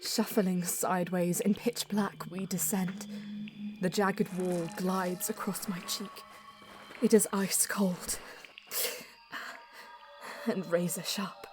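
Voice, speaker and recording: Female elven mystic played by a woman for audio drama: lowish voice, unhurried, reverent and grave, music beneath. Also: steady tone. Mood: solemn awe. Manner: measured, hushed, dramatic, portentous delivery. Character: female Eldar bonesinger, solemn, proud, reverent guide. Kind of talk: scripted audio drama narration and dialogue. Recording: music under the voice.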